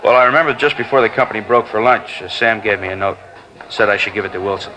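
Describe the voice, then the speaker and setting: An actor playing a sheepish, apologetic, helpful film crew witness in a 1950s radio drama. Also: male voice, fairly low pitch, fairly even intonation, a crowd in the background, natural voice, poor audio. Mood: eager explaining. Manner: apologetic, hurried.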